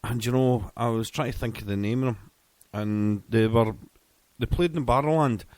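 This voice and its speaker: A man's voice: medium pitch, normal speed, no room sound. Rambling radio DJ man. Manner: relaxed, rambling, stumbles over words. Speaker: internet radio DJ. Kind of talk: casual chat between songs.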